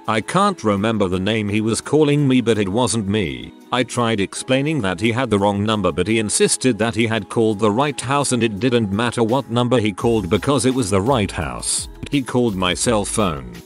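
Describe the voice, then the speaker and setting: A man reading short written posts aloud as scripted narration, spelling out abbreviations and punctuation in a flat way. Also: lowish voice. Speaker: narrator of online forum stories.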